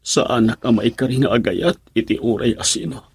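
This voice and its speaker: A man's voice: mid-range voice, fairly quick, a little hiss or hum, natural voice. A man playing an earnest, lovelorn and self-pitying suitor in an Ilocano radio love drama, with plaintive, emotional delivery. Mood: heartbroken.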